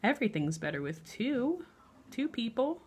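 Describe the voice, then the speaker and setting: A woman with a fairly high voice reads a children's bedtime story. Her delivery is expressive and measured, following the rhyme and lingering on the ends of lines. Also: husky.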